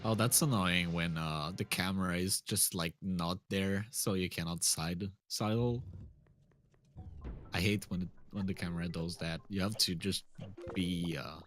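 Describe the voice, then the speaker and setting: Laid-back, low-voiced male co-commentator. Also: a little slow, noisy background. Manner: relaxed, laughs readily, complains playfully. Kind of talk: casual stream commentary.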